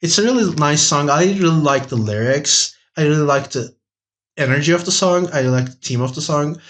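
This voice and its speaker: A man with a slightly high voice chats on a podcast panel. He speaks in a casual, conversational way and sounds enthusiastic about what he discusses. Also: expressive, fairly quick, a bit bright. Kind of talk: casual chat.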